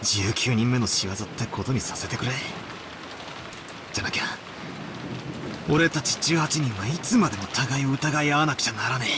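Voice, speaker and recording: An actor playing a brash, defiant young man in a Japanese visual novel, with rough, slangy, emphatic speech. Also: mid-range voice, fast, pauses often, close to an everyday voice. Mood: exasperated, pleading. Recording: steady rain noise behind. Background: rain.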